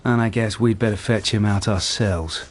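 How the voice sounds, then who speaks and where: A man playing a composed senior police detective in a naturalistic radio drama, measured and probing in manner. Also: lowish voice, steady tone, a little hiss or hum, lightly acted. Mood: tense, guarded. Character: composed senior male police detective leading a murder inquiry. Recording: music behind the voice.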